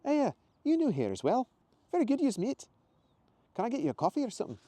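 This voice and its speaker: Man putting on a high, friendly Scottish woman. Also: huge pitch swings, fast talker, mellow, dry recording, heavily acted. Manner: chatty, friendly. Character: friendly, softer-spoken red-haired Scottish wife.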